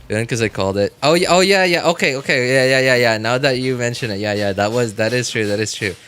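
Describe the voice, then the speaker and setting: A man chatting casually to viewers as a game livestreamer, rambling and often repeating himself in a halting way. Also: mid-range voice, a bit bright, clear, resonant tone.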